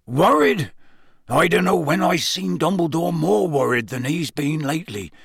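A man's voice: on the high side, animated, moderate pace, gravelly, a bit bright, husky, no echo, studio quality, voice pushed up, heavily acted. A man voicing a huge, hot-tempered, loyal giant of a man, growling in blunt rustic speech for an audiobook. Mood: angry, indignant. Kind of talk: audiobook character acting.

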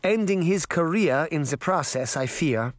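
Man as elderly grandmother, storybook, raised pitch, wise, anxious, earnest lamenting manner, dry recording. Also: animated, measured pace, dry recording. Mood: knowing.

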